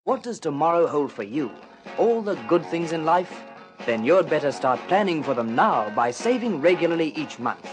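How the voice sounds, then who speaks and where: A man's voice: fairly high pitch, big pitch swings, moderate pace, over music. A man reading a scripted radio commercial as an announcer. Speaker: advertising announcer.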